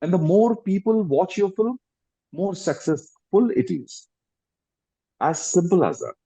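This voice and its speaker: Mellow, husky Indian man on a call. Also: high-pitched, expressive, unhurried. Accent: Indian English. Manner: explanatory, restarts phrases, emphatic rises. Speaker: guest actor. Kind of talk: interview answers over a video call. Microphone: video call. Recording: dull lo-fi online call audio.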